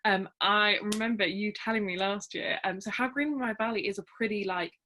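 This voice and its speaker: A woman's voice: mid-range voice, a little fast, pushed and bright, a little hiss or hum. Young woman, hesitant, searching for words, casual literary discussion, mid-range voice. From South Wales, on the border.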